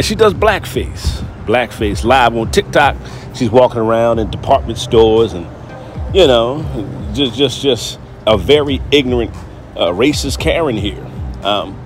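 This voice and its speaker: A man hosting a casual reaction commentary, rambling with filler words, repeating himself and chuckling. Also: medium pitch. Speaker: host of a commentary channel.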